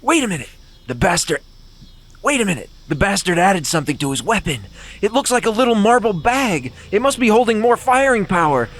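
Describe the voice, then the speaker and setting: A man playing a flustered, high-pitched police chief. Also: big pitch swings, fairly quick, a bit bright, heavily acted. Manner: overwrought, stammering comic delivery. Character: flustered male police commissioner, excitable. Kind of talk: comic dramatic script reading.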